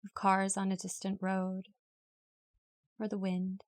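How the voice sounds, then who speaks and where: A woman reading a guided yoga nidra meditation in a calm, unhurried, instructional way. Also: medium pitch. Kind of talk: guided meditation, read from a script.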